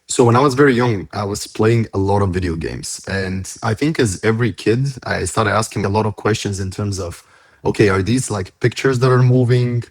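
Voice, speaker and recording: A man's voice: on the low side, moderate pace, no room sound. A man telling his story casually as an interview guest, peppering his talk with "like". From Bulgaria. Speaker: podcast guest, tech company founder.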